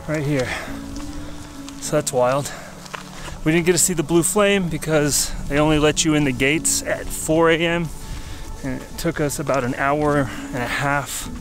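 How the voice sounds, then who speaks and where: A man in his thirties chatting casually as a travel vlogger, outdoors on a mountain, talking into a handheld camera. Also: a little high. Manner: upbeat, easygoing, laughs often. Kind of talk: casual travel vlog chat. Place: outdoors on a volcano summit. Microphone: handheld camera at arm's length.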